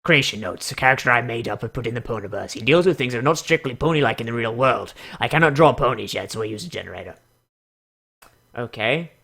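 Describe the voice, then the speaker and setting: A man's voice: medium pitch, expressive, fast, gravelly, pushed and bright, slightly rough. A man giving casual comedic commentary and mock dramatic readings in a sarcastic, theatrical, foul-mouthed way. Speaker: YouTube host.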